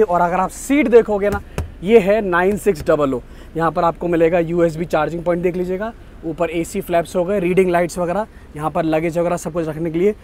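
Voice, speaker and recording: A young male travel vlogger with a fairly high voice, talking fast and easily to a camera he holds at arm's length. He keeps pointing things out and drops English phrases into his talk. Faint street and engine noise sits under the voice. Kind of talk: casual travel vlog to camera. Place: bus depot outdoors, then inside a sleeper bus. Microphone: phone or action camera at arm's length.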